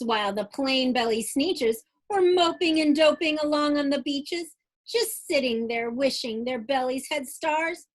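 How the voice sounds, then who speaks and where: Animated, high-pitched woman reading to children. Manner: expressive, dramatic, pauses to question young listeners. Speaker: teacher reading to students. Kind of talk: animated children's picture-book read-aloud.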